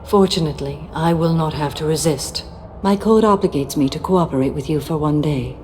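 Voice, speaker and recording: An actress playing a calm, implacable warrior-monk in a video game, speaking with formal, stately care. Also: female voice, low voice, brisk, a little hiss or hum, close to an everyday voice. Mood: solemn, courteous.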